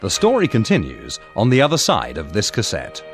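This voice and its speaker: A man's voice: on the low side, huge pitch swings, a little fast. A man reading scripted part announcements for an audiobook on cassette. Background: music.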